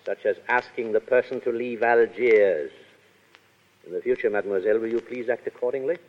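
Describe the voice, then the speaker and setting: A man's voice: medium pitch, a little fast, close to an everyday voice. A man plays a coldly formal Foreign Legion adjutant in a 1930s radio play. He is clipped and commanding, with menace under the politeness. The old broadcast sounds muffled and rings in a big hall. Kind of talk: 1930s radio drama acting.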